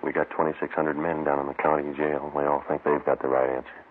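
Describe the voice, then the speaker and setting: An actor with an American accent playing a businesslike police officer in clipped 1950s radio drama dialogue. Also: male voice, rumbling low, flat delivery, quick pace. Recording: old narrow-band radio recording, faint noise.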